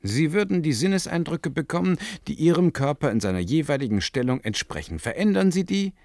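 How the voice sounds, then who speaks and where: An actor playing an earnest researcher in a German science fiction radio play, deliberate and probing as he explains. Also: fairly high pitch, expressive, gravelly, darkish tone, no echo, clean studio sound. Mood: patiently explaining. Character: earnest male researcher methodically unfolding a hypothesis.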